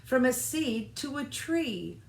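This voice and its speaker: A woman voicing a small, earnest little seed in a children's storybook, in a high, small voice. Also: expressive, takes it slowly, creaky, heavily acted. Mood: gentle wonder. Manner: rhyming, earnest storybook speech. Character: small scared, lonely seed friend, later cheerful. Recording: roomy echo, faint background noise.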